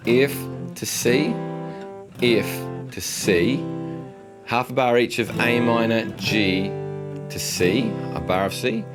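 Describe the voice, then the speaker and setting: A man in his thirties with a low baritone voice, casually teaching chords in a studio guitar lesson. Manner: friendly, explains chords while strumming and singing snippets. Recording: acoustic guitar playing under voice.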